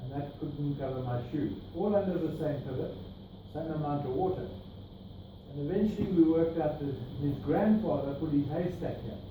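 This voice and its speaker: A man's voice: a little high, moderate pace. A middle-aged man with a South African accent, presenting slides to a workshop group in a meeting room and pointing out details as he explains. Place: meeting room with projector screen. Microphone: far camera, no mic. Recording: distant echoey room with noise.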